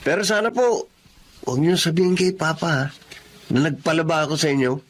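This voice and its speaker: A man's voice: fairly high pitch, a little fast, natural voice. A man playing a nervous, evasive young man. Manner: polite but evasive, uses ho. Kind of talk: Filipino radio-style drama acting.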